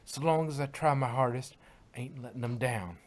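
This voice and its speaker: A man's voice: mid-range voice, a little fast, a little hiss or hum, lightly acted. A man voicing a stubborn, tough female farm pony with a folksy drawl. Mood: resolute. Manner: folksy, defiant. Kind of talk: fan fiction reading.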